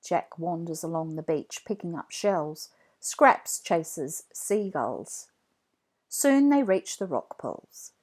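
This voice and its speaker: A woman narrating a children's read-along story from a script, in an expressive storytelling manner. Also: on the low side, takes it slowly.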